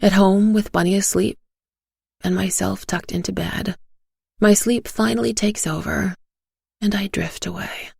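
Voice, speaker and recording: Woman reading a dark story real slow, lowish gravelly voice, faint music under it. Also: fairly even intonation, no echo, clean studio sound. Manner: slow, hushed, measured storytelling. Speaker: narrator and voice actor. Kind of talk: scripted first-person audiobook narration.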